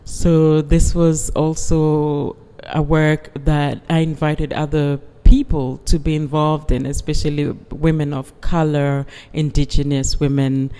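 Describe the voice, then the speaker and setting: A woman from Uganda, a guest artist, talking in a calm, measured way and often affirming with "yes". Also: sits low, smooth. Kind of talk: calm conversational interview. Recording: long hall reverb.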